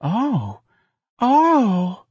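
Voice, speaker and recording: A man voicing a naive, eager and easily offended young woman who performs at a club, with breathy delivery, in an audiobook. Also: sits high, very animated, a little slow, a bit bright, voice pushed up, heavily acted. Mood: sighing. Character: eager, dim, easily offended young female stripper Darlene.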